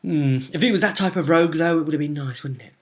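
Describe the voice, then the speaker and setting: A man's voice: a little high, quick pace. Rambling young man, game master. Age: young adult. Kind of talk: casual recap of a tabletop role-play session. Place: small indoor room at home.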